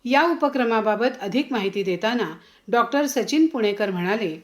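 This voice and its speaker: A woman's voice: on the high side, expressive, moderate pace. A woman presenting a newsreel in scripted news narration.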